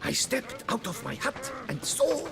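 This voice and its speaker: Creaky, rambling rustic fisherman. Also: very high-pitched, sing-song, measured pace, full character voice. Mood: dramatic, spooked. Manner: rambling, dramatic storytelling. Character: long-winded, superstitious, grumbling rustic fisherman. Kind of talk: fantasy video game dialogue.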